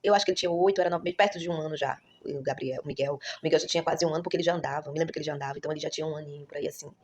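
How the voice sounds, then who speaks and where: A woman's voice: deep voice, steady tone, very fast, slight background noise. A woman, chatting to her viewers in a rambling vlog style and correcting herself often. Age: 30s. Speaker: vlogger, mother. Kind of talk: casual vlog storytelling.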